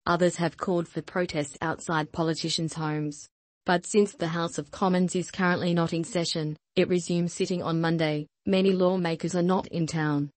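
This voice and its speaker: A woman reads the news in a low, steady voice with almost no rise and fall. Her delivery is even and scripted, like a newsreader. The voice-over is dry and close to the mic. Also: normal speed, no echo. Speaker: news reader.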